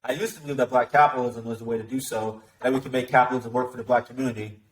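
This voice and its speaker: A man, a soldier who is a guest, talking fast and emphatically in casual conversation and swearing freely. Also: mid-range voice, steady tone, reverberant, poor audio. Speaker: guest, active-duty soldier.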